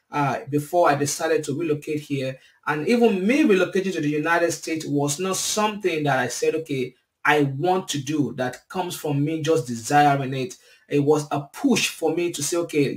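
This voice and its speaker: A man's voice: on the high side, fairly bright tone, far from the mic. Earnest male host on a webcam, set back from the mic in an echoey room. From Nigeria. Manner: earnest, gestures while talking. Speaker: podcast host. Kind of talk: interview podcast. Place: home room, indoors. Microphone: video call webcam.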